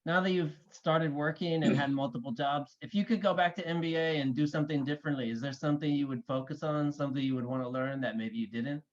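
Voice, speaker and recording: A male host with a fairly high voice, upbeat and encouraging as he leads the conversation. His audio comes over a video call and sounds dull and muffled. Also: steady tone, fast talker, few pauses. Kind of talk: interview hosting.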